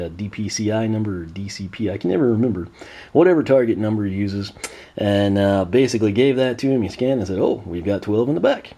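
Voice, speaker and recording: Hoarse man chatting through an unboxing. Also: lowish voice, warm tone. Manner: chatty, frequent "uh" fillers, clears throat. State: hoarse, losing his voice. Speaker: toy collector unboxing host. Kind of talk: casual unboxing commentary.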